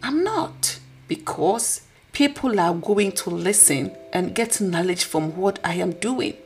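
A woman with a husky voice hosting a podcast, delivering an earnest, preachy motivational talk. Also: mid-range voice, expressive, takes it slowly, darkish tone, faint music behind. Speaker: podcast host.

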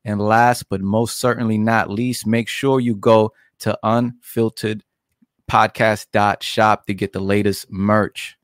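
Man, podcast host, brash promo patter, low-ish voice, dry close mic. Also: little pitch movement, slow, no echo. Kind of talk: rapid-fire show intro.

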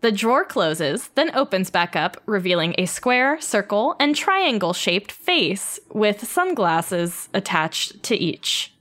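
A woman's voice: mid-range voice, slow, few pauses. A woman reading stage directions aloud at a comedy table read, adding playful asides. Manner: lively, reads with playful asides.

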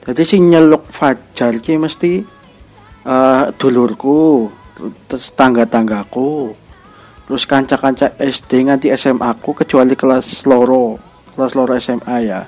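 A man's voice: mid-range voice, fairly even intonation, a little slow, a little mellow. Young Ngapak-accented Javanese man, rambling over music. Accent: Banyumasan (Ngapak) Javanese. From Cilacap, Central Java, Indonesia. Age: young adult. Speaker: podcast host. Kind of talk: casual solo podcast monologue.

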